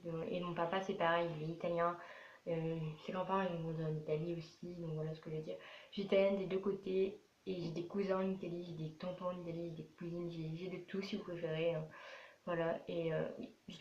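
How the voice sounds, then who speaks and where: A woman's voice: lowish voice, a little mellow. A twelve-year-old girl from France chatting casually in a rambling, repetitive way as she answers questions for a vlog. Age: 12 years old. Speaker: young vlogger. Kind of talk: casual FAQ vlog to camera. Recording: roomy echo, dull sound, faint noise.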